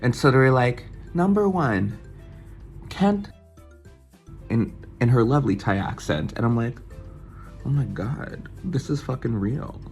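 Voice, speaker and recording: A young man from the United States telling an excited, swearing storytime vlog and mimicking other people's voices as he goes. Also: medium pitch, a little slow, pauses often, faint music behind. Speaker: vlogger. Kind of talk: casual storytime chat.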